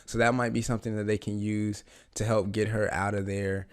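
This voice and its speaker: A man with a raspy voice, just getting over the flu, talking through a TV episode in a rambling, eager way with lots of fillers. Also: lowish voice, level tone, a little fast. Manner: rambling, many fillers and restarts, eager fan. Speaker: reaction channel host. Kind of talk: casual reaction commentary.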